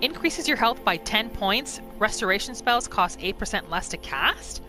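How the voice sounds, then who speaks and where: A woman's voice: mid-range voice, expressive, normal speed, music in the background. A woman hosting a casual game roleplay playthrough, narrating her character's thoughts in the third person with little asides. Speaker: gaming channel host.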